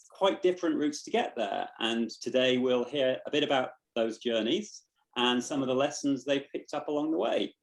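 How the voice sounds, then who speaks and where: A man's voice: medium pitch, echoey room, low-quality recording. A man hosting a livestream Q&A over a video call, speaking hesitantly with many fillers. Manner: hesitant, many ums and uhs. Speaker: host.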